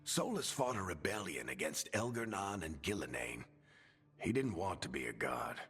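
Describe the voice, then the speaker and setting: An actor voicing a wry older dwarf mentor in a video game, husky and gravelly, with a sardonic, reassuring way of talking. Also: male voice, sits low, very animated, normal speed, fairly bright tone, exaggerated voice. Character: wry older dwarf man, battle-worn mentor and storyteller. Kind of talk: video game dialogue acting. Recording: reverberant hall, music underneath.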